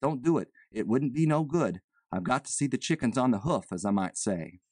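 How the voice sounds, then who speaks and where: A woman's voice: extremely low pitch, expressive, moderate pace, warm tone, no room sound, clean studio sound, voice pushed down. A woman voicing a nervous, evasive man who poses as a chicken buyer, with folksy, ungrammatical, rambling talk. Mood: urgent, insistent.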